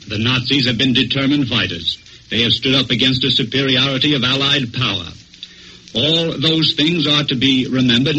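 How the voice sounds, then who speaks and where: An American man reading scripted 1940s radio war commentary in a formal, measured, sober way. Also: mid-range voice, pushed and bright, dull audio. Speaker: military news commentator. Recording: noisy old 1940s radio broadcast.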